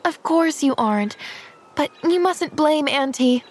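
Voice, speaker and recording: An eager young girl from a storybook, voiced by a woman reader. The voice sits high and chatters earnestly, with a breathless, gushing, kind-hearted manner. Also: expressive, a little slow. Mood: apologetic, earnest. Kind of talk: audiobook character voice.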